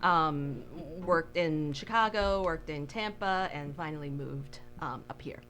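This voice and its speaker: A woman from Taiwan, a bakery co-owner, talking casually in an interview, hesitant with many fillers and quick to laugh. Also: low voice, a bit bright.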